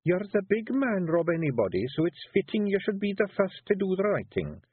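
A man's voice: sits high, sing-song, slightly rough, no room sound, phone-quality sound, pitched above the performer's own voice, heavily acted. A man doing a bossy wee Scottish pixie wife. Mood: coaxing. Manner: brisk, coaxing and bossy. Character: sharp-witted female pixie clan wife, bossy.

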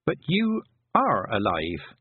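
A man voicing an earnest, sharp-minded young shepherd girl who asks questions. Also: mid-range voice, wildly swooping pitch, slow, breathy edge, dry recording, heavily acted. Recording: tinny phone-like sound.

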